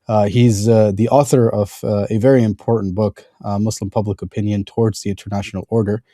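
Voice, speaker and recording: A male podcast host introducing his guest in a conversational way, halting often with fillers and restarts. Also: lowish voice, little pitch movement, warm tone, dry recording, clean studio sound.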